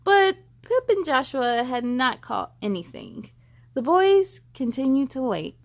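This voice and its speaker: A woman's voice: sits high, big pitch swings, unhurried, gravelly. A woman hosting children's story time, reading expressively and dramatically and chatting directly to young viewers.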